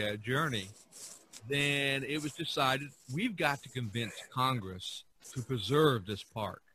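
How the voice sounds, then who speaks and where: A man with a bright, forward voice talks casually as a podcast host. His storytelling is enthusiastic and animated, at an average pitch for a man. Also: slight background noise, rough recording. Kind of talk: casual art history discussion.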